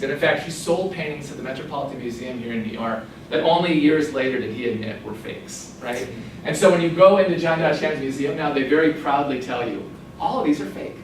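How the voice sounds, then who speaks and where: An American man in his forties speaks at a book event at a cultural institute, telling anecdotes with lively asides and laughter. His voice reaches the mic from across a very echoey room, with clear noise underneath. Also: mid-range voice, distant mic. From United States. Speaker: author giving a book talk. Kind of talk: illustrated lecture to an audience.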